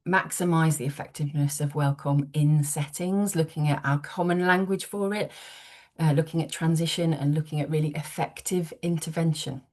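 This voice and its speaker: Low-voiced woman presenting a webinar. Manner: earnest, with steady ums. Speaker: early years advisory teacher presenting. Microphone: video call.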